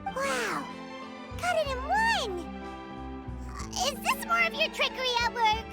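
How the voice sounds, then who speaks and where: Squeaky little sprite sidekick for a game, crazy high, slow and swoopy, music loud behind. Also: female voice, very animated, exaggerated voice. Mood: surprised, curious. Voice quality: squeaky, childlike. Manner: exclamatory, wide-eyed. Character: tiny squeaky childlike companion sprite, curious and excitable. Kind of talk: scripted game dialogue.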